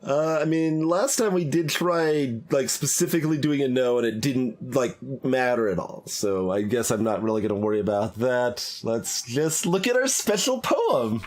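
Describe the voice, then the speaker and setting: A man's voice: mid-range voice, expressive, normal speed, hardly any pauses. A man giving casual, hesitant, rambling live commentary as he plays a game, thinking aloud. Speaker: streamer playing a visual novel. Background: game music.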